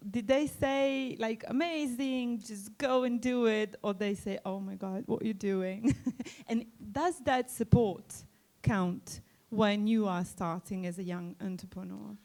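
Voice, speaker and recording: Woman interviewer at a conference, unhurried, upbeat questions. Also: mid-range voice, a little mellow. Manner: enthusiastic, chatty questions. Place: conference arena stage.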